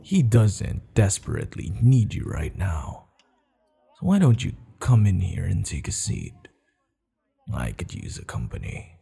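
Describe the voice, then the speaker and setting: A man playing a proud, teasing, commanding barbarian warchief in an ASMR roleplay, gravelly and measured, with formal phrasing. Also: low-pitched, wildly swooping pitch, dark tone, full character voice. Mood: amused, sly. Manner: slow, measured, stern yet amused, formal phrasing.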